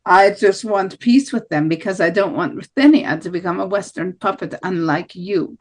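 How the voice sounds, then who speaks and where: A woman's voice: medium pitch, normal speed, close to an everyday voice. A woman playing a hardline, defiant nationalist presidential candidate in an amateur table read of a debate. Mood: firm.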